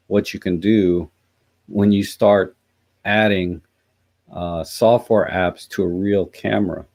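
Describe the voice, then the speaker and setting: A man hosting a casual live chat, musing and halting, with a hoarse, scratchy voice. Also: on the low side, dark tone. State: hoarse after a night out. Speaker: livestream host. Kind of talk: casual live chat, reading out and answering comments.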